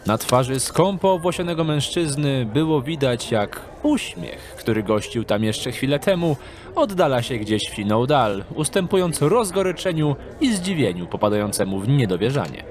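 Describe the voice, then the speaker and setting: A man narrating a scripted dark fantasy audio drama in a measured storytelling manner. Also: medium pitch, big pitch swings.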